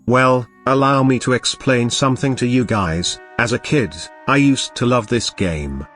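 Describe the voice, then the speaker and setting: A man's voice: mid-range voice, a little slow, a bit bright. A young man giving a casual game review voice-over, chatty and enthusiastic with joking asides. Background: game music playing.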